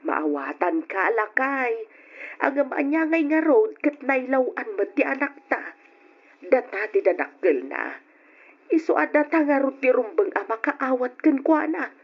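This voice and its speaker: An actress playing a patient mother who calmly reasons with her husband in an old radio drama. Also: high-pitched, unhurried, breathy edge, full character voice. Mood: soothing. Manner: soothing. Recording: dull old radio recording.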